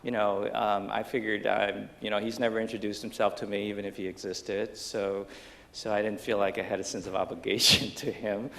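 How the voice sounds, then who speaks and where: A middle-aged man from Taiwan, a business professor on a panel stage, telling his life story in a halting way with many restarts and fillers. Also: lowish voice, little pitch movement, gravelly, fairly bright tone, slight background noise. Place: lecture stage under bright lights before an audience.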